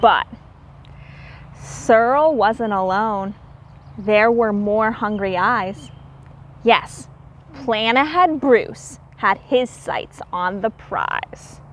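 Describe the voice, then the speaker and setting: A woman reading a story aloud to young children as a teacher, expressive and explaining things simply. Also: medium pitch, drawn-out words, slight background noise.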